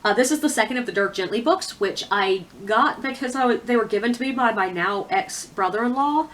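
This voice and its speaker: A woman's voice: fairly high pitch, brisk, fairly bright tone, slight background noise. A woman giving a casual, chatty monologue as a book reviewer, opinionated and conversational, with self-deprecating asides.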